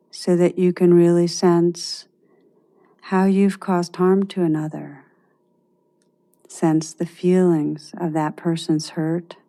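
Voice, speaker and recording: A woman guides a meditation with a mellow, relaxed tone. She speaks very slowly and gently, leaving long pauses. Her delivery stays even and calm in pitch.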